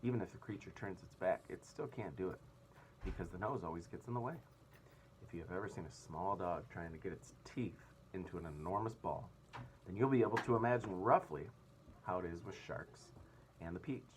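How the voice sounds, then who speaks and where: A man's voice: deep voice, a little fast, a little hiss or hum. A man reading a children's story aloud as narrator, expressively.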